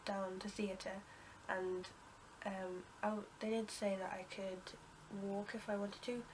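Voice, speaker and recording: A young woman with a cold talks to camera, her voice bunged up and her delivery wandering through ums and half-finished sentences. She keeps her pitch level and flat. A steady hiss of noise sits under the recording. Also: slow, poor audio. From United Kingdom. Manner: rambling, many ums and restarts, coughs and clears throat. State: congested with a cold. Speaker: vlogger. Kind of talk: casual storytelling to camera.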